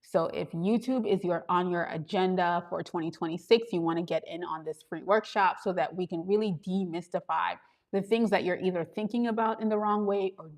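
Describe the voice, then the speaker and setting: A woman with a Trinidadian accent chatting live with viewers as a YouTube coach, bubbly and laughing often, recorded on a small handheld camera. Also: fairly low pitch. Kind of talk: casual livestream chat with viewers. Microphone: handheld gimbal camera.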